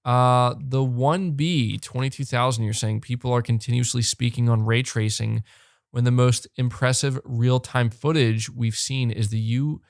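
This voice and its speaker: A young man hosting a live podcast, talking fast and animated, repeating words when fired up and losing his voice. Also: medium pitch, clear, resonant tone, dry recording, studio quality. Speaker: podcast host reading super chats. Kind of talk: excited live-stream chat. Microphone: close desk mic.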